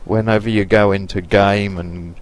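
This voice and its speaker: A man's voice: fairly low pitch, steady tone, normal speed, rough recording. A man in his late forties with a Lancashire accent, chatting casually and rambling cheekily over his own video game footage. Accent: Lancashire English. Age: 40s. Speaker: gaming YouTuber. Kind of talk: casual gameplay commentary.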